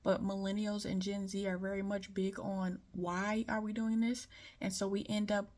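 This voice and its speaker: A woman's voice: mid-range voice, little pitch movement, normal speed, darkish tone. A woman from Detroit with a light Southern accent, giving a frank, opinionated casual monologue. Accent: light Southern American. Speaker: commentator.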